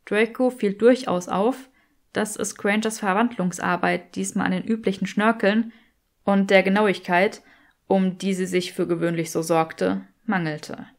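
A woman's voice: medium pitch, fairly even intonation, unhurried, creaky. A woman reading scripted fiction as an audiobook narrator.

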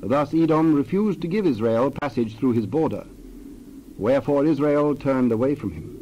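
Measured male scripture narrator. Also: mid-range voice, expressive, warm tone, muffled recording, a little hiss or hum. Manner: measured, reverent reading. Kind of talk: dramatized scripture reading.

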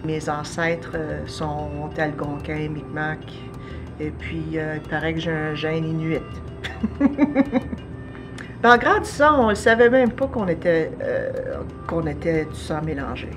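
A low-voiced woman in her forties with a Canadian French accent speaks in a documentary interview, thinking aloud with pauses and fillers. Clear music plays beneath her voice, recorded indoors in a studio room. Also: animated. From Ontario, Canada. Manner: thoughtful, many pauses and fillers. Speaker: artist interviewed. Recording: music bed under voice.

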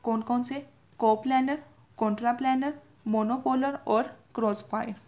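A young woman with a fairly high voice teaches in an informal, explanatory way. She goes over each point more than once and keeps checking in with short tags. English technical terms are mixed into her speech. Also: steady tone, measured pace, darkish tone. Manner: repetitive, with frequent checking tags. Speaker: medical lecturer. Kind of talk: informal explanatory lecture from notes.

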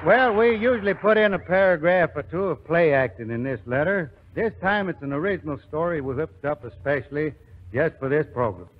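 A man's voice: a little high, fairly quick. A man hosting and narrating in a folksy 1940s radio style. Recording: dull 1940s radio recording.

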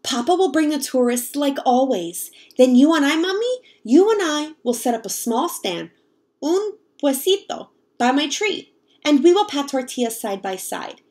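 A woman reading a picture book as an excited, hopeful young girl, in an expressive manner. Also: high-pitched, moderate pace, pitched above the performer's own voice.

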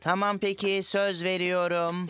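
A woman's voice: fairly low pitch, level tone, measured pace, loud music behind the voice, close to an everyday voice. A woman voicing a young, whiny, easily panicked schoolboy in a children's cartoon. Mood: sheepish. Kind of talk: animated children's cartoon dub.

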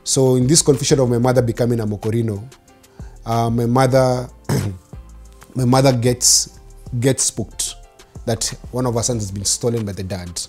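A Kenyan man in his thirties, telling his childhood story in an interview, mixing in Swahili, animated. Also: medium pitch, moderate pace, faint music behind. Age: 30s. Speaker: interview guest. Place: living room studio set, seated in armchair.

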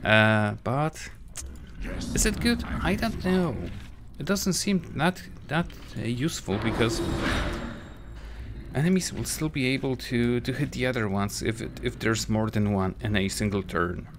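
A man's voice: mid-range voice, a little slow, background noise. A man giving casual commentary while playing a game, hesitant, with many fillers and restarts. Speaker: let's play host.

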